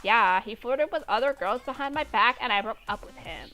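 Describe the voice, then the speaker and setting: A woman's voice: on the high side, lively intonation, moderate pace, pushed and bright, faint music behind. A woman voicing a loyal, protective schoolgirl with magic powers in a story reading. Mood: chatty. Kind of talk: amateur story character reading.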